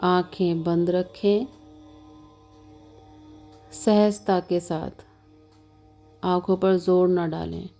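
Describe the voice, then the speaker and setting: Very slow, mellow woman guiding meditation. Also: lowish voice, creaky, faint music behind. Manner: calm, measured, soothing instructions with long pauses. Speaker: yoga nidra meditation instructor. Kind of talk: slow guided meditation narration.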